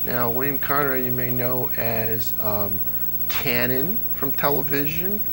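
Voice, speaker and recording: A man's voice: mid-range voice, a little slow, over music, rough recording. A man in his thirties chatting with enthusiasm and telling anecdotes as an interview guest. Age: 30s. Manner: enthusiastic, chuckles while talking, acts out quotes. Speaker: interview guest, old-time radio enthusiast.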